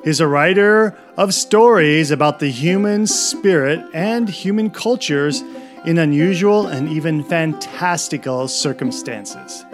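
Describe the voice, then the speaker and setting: A podcast host introducing his guest in a measured, deliberate way and asking questions. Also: on the high side. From Montana. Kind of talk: interview hosting.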